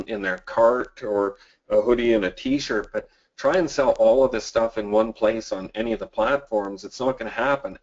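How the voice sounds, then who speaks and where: A man from Canada hosting a live sales webinar, answering chat questions in a casual, upbeat way with many fillers. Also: medium pitch. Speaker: webinar host. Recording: dull lo-fi audio.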